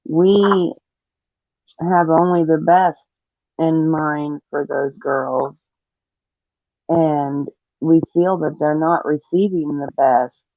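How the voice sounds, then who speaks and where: A man speaking deliberately as a panelist on a live discussion, over narrow audio. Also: fairly high pitch, steady tone, dry recording. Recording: narrow phone-like audio, very dry.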